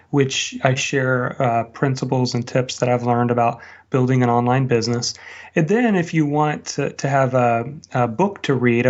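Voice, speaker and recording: The voice is a man's, at an ordinary middle pitch. He speaks as a guest in an interview, telling reflective stories and passing on advice conversationally. The sound is somewhat dull and low in fidelity. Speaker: interview guest, blogger and online business teacher.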